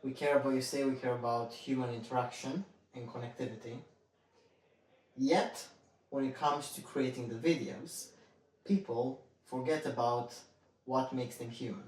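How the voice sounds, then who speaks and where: A male podcast host speaks in a very echoey room, a little off the microphone. His pitch is average for a man, and he interviews in a conversational, deliberate way, restating his points. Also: fairly even intonation, a little mellow. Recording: strong room echo, distant microphone.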